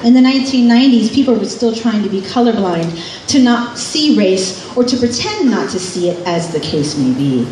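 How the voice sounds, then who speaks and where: A woman's voice: fairly high pitch, very animated, normal speed, a little mellow. A middle-aged American woman reading a scripted memoir aloud live on stage in a club. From California, United States. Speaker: author and musician reading from own memoir. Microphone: stand microphone through PA, recorded by distant camera. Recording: roomy, distant camera pickup, faint noise. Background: faint room noise and ambience.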